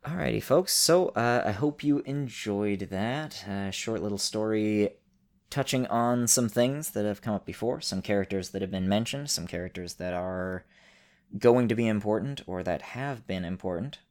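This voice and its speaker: A man with a creaky voice, speaking as the storyteller and host of an audio drama show. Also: lowish voice, normal speed, darkish tone. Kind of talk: narration and casual host talk.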